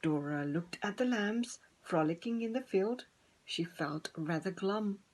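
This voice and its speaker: Slow, expressive woman reading a storybook. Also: mid-range voice, poor audio. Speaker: storybook reader. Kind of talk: expressive children's story narration.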